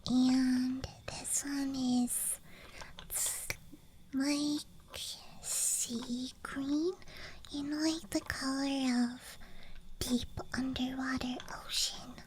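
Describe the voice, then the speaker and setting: A young woman speaks in a soft, breathy ASMR whisper, with a high, girlish tone. Her delivery is extremely slow, gentle and playful, as if sharing small things one at a time. A faint outdoor night ambience sits behind her. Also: flat delivery, a little hiss or hum. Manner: gentle, playful, unhurried murmuring. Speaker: ASMR streamer. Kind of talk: ASMR, unscripted show-and-tell. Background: night campground ambience track.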